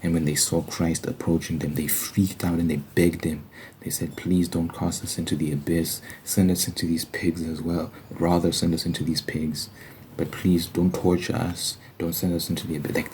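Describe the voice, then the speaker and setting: A man's voice: low voice, a little mellow, a little hiss or hum. A man giving an informal, reflective ASMR religious monologue, thinking aloud as he explains scripture. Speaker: host of an ASMR Bible study. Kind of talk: ASMR religious monologue, conversational Bible commentary.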